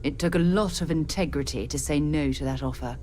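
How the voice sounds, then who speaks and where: An actress playing a caring crewmate in a video game, speaking gently and sincerely. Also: female voice, sits low, fairly quick, slight background noise, lightly acted. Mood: gentle. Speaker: game companion character. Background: game music.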